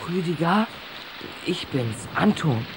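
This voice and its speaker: A male actor playing an earnest, quick-witted boy in a children's radio play, plain-spoken and a little hesitant. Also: fairly high pitch, animated. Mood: consoling. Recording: noisy, rain-like hiss behind the voice.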